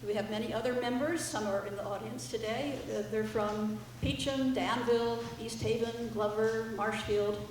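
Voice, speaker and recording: A woman's voice: on the high side, steady tone, moderate pace, few pauses, a little mellow. A woman speaking informally to a live audience as a musician introducing her group's songs. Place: indoor library art gallery, live audience. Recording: echoey room, distant mic, faint noise.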